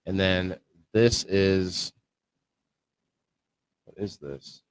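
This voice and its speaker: A man co-hosting a casual livestream about indoor growing, talking in a low-key, fairly monotone way. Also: very slow, dry recording. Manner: low-key, self-described monotone delivery. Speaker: co-host of a live-streamed indoor growing show.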